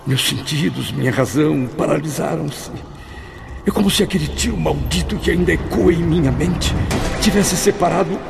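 A man's voice: fairly high pitch, gravelly, noisy background. An actor with a Brazilian accent playing a tormented, remorseful spirit who tells his own story with dramatic anguish in a radio drama. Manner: anguished, dramatic first-person recounting.

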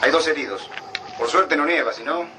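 An actor playing an urgent soldier in a radio drama. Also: male voice, fairly high pitch, moderate pace, background noise, close to an everyday voice. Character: urgent young male soldier bearing bad news.

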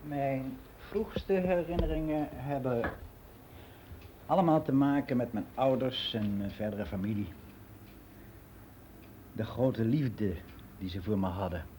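Mellow-voiced, candid older man, played by an actor. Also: mid-range voice, a little hiss or hum, close to an everyday voice, rough recording. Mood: reflective, hesitant. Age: 60s. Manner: frank, reflective, halting with self-corrections. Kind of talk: confessional monologue in a radio play.

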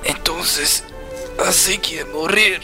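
An actor playing a helpless man facing death in an audio drama, speaking with slow resignation. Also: a little high, loud music behind the voice, natural voice. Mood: dying resignation. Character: helpless strangled male victim facing death.

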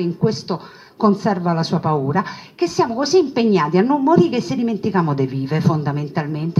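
A woman performing a narrative monologue for an audience, expressive, with conversational asides and repeated phrases. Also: medium pitch, slightly rough, highs cut off. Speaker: stage storyteller, monologue performer.

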